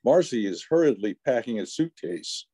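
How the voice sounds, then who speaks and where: A man's voice: medium pitch, big pitch swings, normal speed, dark tone, slightly rough. An older man reading scripted narration for an old-time radio play.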